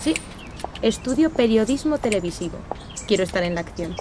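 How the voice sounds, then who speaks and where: An actress playing a friendly, helpful and trusting young college student in an audio drama. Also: female voice, a little high, lively intonation, fairly quick, lightly acted. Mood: obliging. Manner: bright-eyed, chatty, obliging. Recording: noisy outdoor street ambience.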